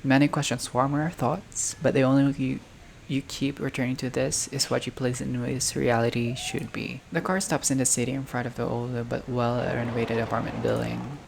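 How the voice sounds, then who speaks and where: A gamer reading visual novel text aloud in a halting way, breaking off for casual asides and reactions. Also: mid-range voice, little pitch movement. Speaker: gaming video creator playing a visual novel. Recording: clearly noisy home recording, unstable audio.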